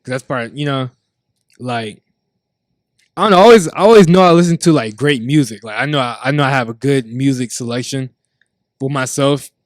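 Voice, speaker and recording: A man's voice: mid-range voice, fairly quick, no echo, studio quality. A man guest who DJs, talking casually on a podcast in an emphatic, profane, repetitive way.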